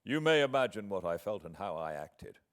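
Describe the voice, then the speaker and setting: A British man reading a classic novel as a proud, wronged gentleman, with formal, measured, deliberate phrasing. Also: on the low side, big pitch swings. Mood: pained, restrained. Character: proud, wronged English gentleman writing a formal, earnest letter.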